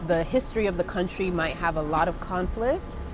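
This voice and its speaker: A young woman from Zimbabwe hosting a casual conversation, chatty and lively, laughing often. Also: fairly low pitch, a little fast, noisy background. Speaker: host. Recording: music playing loudly behind.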